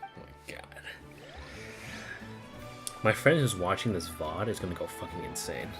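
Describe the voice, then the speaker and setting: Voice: sits low, expressive, unhurried. A game streamer giving casual livestream commentary in a slangy, sweary way. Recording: game music behind the voice.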